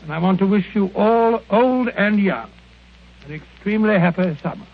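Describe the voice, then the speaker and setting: An actor playing a sentimental, genial old British gentleman with a hesitant, bumbling manner on a radio drama. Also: sits high, moderate pace. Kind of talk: 1930s radio drama acting. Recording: tinny, noisy old radio recording.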